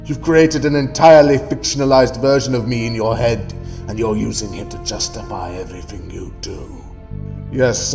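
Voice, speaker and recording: An actor playing a stern, scornful alien time-traveller who lectures in a measured way in an audio drama. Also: male voice, fairly low pitch. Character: cold, stern alien time-traveller, adult man, wearily righteous.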